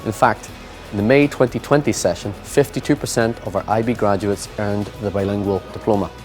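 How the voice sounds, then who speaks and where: A man's voice: on the low side, fairly even intonation, moderate pace. Formal man reading school promo narration. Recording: music bed underneath.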